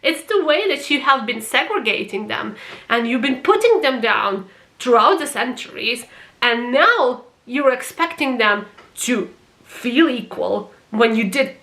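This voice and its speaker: A woman from Croatia speaks English as a second language in a casual vlog. She reviews a TV series with a bright, slightly high voice and gets passionate, swearing now and then for emphasis. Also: expressive, unhurried.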